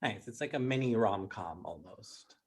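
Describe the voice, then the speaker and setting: A man's voice: fairly low pitch, moderate pace, slightly rough. A man with an American accent hosting a podcast interview in an upbeat style. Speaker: interview host, workshop facilitator.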